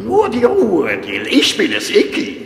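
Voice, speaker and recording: An actor playing a cranky, scheming little vulture in a children's audio drama, snide and excitable. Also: male voice, high voice, a little slow, gravelly, echoey room, in a large hall, very noisy, exaggerated voice.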